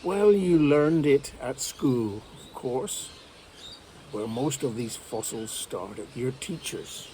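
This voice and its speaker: A man gives an English lesson in a slow, measured way, laying out advice for learners. His pitch sits in the middle, with faint background noise. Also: animated, warm tone. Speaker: English teacher. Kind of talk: direct-to-camera lesson.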